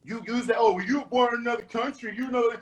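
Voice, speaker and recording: A middle-aged man from the Bahamas making forceful, preachy points in a heated panel debate, repeating himself for emphasis. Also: extremely high pitch, steady tone, fast, lo-fi sound.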